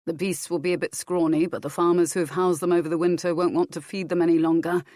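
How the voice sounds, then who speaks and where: A woman voicing a friendly country gentleman with an easy, practical way of talking. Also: fairly low pitch, little pitch movement, fast, no room sound, studio quality, natural voice.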